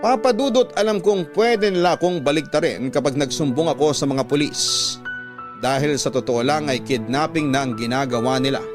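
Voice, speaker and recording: A man's voice: a little high, normal speed. A man with a Filipino accent narrating a scripted first-person story for a radio drama. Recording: prominent instrumental music under the voice.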